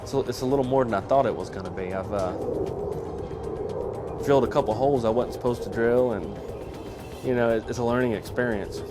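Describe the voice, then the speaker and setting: A man talking casually in an interview from his home garage, halting with many restarts. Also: medium pitch, over music. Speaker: interviewee, engineer building a kit plane.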